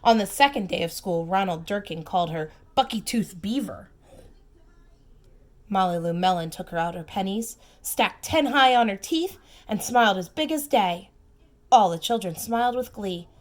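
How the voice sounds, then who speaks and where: A woman reading a storybook aloud to young children, expressive and emphatic, with simple asides and questions for the listeners. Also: mid-range voice. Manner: expressive, slow emphatic phrasing, simple child-directed comments. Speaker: preschool teacher reading aloud.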